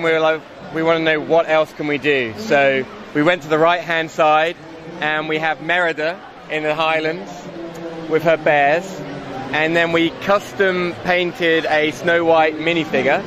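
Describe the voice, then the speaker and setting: A man in his thirties talking casually in an interview as a hobby builder. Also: a little high. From Montgomery, Alabama, is where he is from now. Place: large indoor convention hall. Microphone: handheld interview microphone. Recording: busy convention hall crowd noise. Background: crowd chatter.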